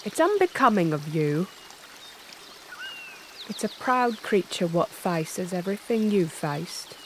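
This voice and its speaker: An actress playing an earthy working-class young woman in a fantasy audio drama, wary but tender, with a gentle, folksy manner. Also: fairly low pitch, lively intonation, slow, a little hiss or hum. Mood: gentle, musing.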